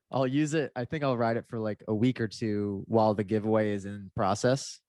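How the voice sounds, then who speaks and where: A man's voice: on the low side, fairly even intonation, moderate pace, a little mellow, no room sound, clean studio sound. A man chatting casually in a podcast, rambling and laid-back with lots of fillers, his nose a little stuffed up. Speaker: motocross racer.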